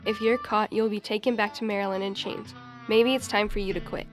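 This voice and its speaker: A cautious helper of runaway slaves read by a female student speaks in a medium-pitched woman's voice. This is a school radio drama with clear music underneath. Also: fairly even intonation, fairly quick, natural voice. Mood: concerned.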